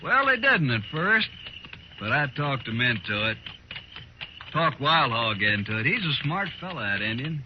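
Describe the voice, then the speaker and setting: A trigger-happy frontier villain, acted by a man in old radio drama style. His delivery is animated and mocking, with a folksy sneer. The recording is muffled and clearly noisy. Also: medium pitch, moderate pace, lightly acted. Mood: boastful. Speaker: actor in a radio western. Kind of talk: 1950s radio drama acting.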